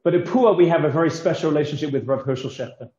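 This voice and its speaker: A man giving a formal introduction as host of a conference, with halting, hesitant delivery. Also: fairly high pitch, warm tone. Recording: echoey, slightly dull audio.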